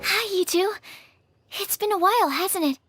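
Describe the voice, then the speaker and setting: Gentle, very high-voiced young alchemist. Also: female voice, moderate pace. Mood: friendly. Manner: gentle, reassuring, patient explaining. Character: gentle, encouraging young female alchemist lab assistant. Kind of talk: video game voice acting. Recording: game music behind the voice.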